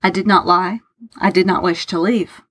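A woman's voice: lowish voice, steady tone, moderate pace, a bit bright, husky. Woman voicing a guarded, sardonic man. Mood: restrained anger. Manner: matter-of-fact, curt, sardonic, formal. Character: Tharke, guarded, bitter, self-deprecating man hiding love.

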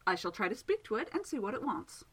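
Fussy mild gent magician, formal and exact, read by a woman; airy voice, lively pitch. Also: fast talker, breathy edge, full character voice. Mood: coaxing. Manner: precise, politely formal. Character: prim, even-tempered gentleman village magician.

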